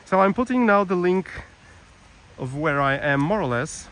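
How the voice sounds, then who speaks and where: A man from Portugal with a Portuguese accent, chatting casually and a little haltingly as he hosts a live walking tour. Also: high-pitched, animated, fairly bright tone. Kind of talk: casual live vlog chat. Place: outdoors, walking through a village. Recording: clear outdoor ambience and traffic noise.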